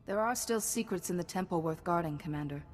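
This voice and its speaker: A composed Jedi heroine, played by a woman speaks fast in a low voice with self-assurance. Her lines ring with a long reverb over faint ambience. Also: faint music behind, natural voice. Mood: resolute. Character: composed female Jedi hero. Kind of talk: video game cutscene acting.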